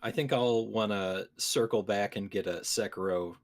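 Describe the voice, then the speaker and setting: A man's voice: lowish voice, normal speed. A man hosting a gaming podcast, talking casually and conversationally with his co-hosts. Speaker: podcast host.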